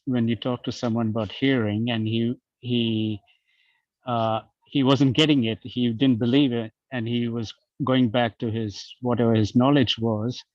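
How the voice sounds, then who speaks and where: A man's voice: medium pitch, level tone, a little slow, no room sound. This man's voice comes through dull and dry, like lo-fi call audio. He makes his point emphatically, restarting as he goes, in a casual discussion. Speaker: discussion participant.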